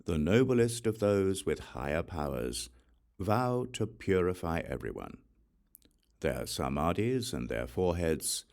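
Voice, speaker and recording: A man reading the part of a calm, wise male spiritual teacher who explains a teaching in measured phrases. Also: deep voice, vocal fry, exaggerated voice. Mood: solemn.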